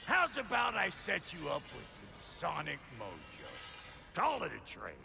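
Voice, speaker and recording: An actor playing a gruff, cantankerous dwarf blacksmith with a Southern US accent and a gravelly, folksy voice in a video game. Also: male voice, on the high side, wildly swooping pitch, a little slow, music in the background, exaggerated voice. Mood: bossy, irritated. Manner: folksy, blunt, bossy. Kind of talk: cinematic video game acting.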